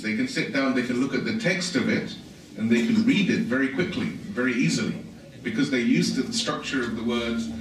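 A man's voice: mid-range voice, a bit bright. A man from England giving an informal religious lecture, explaining things conversationally with asides. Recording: echoey hall, voice from far off, faint hiss.

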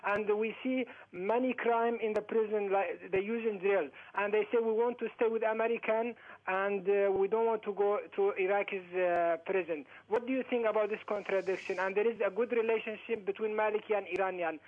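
A man calls in over a thin, tinny phone line. His voice sits quite high, and his English is halting and broken, full of restarts. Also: steady tone. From Iraq. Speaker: caller.

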